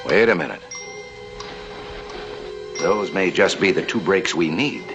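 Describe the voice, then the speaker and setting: A man's voice: low voice, a little slow, lo-fi sound, over music. An American man playing a determined, scheming banker in a vintage television western, earnest and persuasive. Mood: excited, plotting. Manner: earnest, persuasive, slyly flattering. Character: determined, scheming male banker in the Old West.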